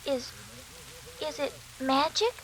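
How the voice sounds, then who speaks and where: Very high-voiced, innocent little schoolgirl. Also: lively intonation, slow pace. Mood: uneasy. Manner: chirpy, naive, childlike. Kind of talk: 1940s radio suspense acting. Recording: hissy, crackling 1940s radio recording.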